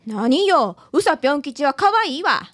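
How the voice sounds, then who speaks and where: A woman's voice: sits high, very animated, moderate pace, fairly bright tone, no room sound. A woman with a Kansai accent playing a friendly, outspoken local woman in a radio drama. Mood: indignant. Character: friendly, outspoken local woman, also as her schoolgirl self. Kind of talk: scripted radio drama acting.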